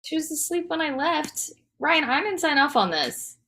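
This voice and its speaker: A woman playing a no-nonsense wife and mother with casual, deadpan delivery in a comedy table read. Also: sits high, moderate pace, exaggerated voice. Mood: defensive, dry.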